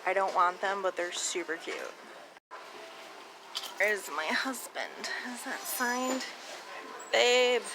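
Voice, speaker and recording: A woman's voice: mid-range voice, expressive, slow pace, long pauses, noisy background. A woman chatting casually and informally as a reseller vlogger, with mild slang.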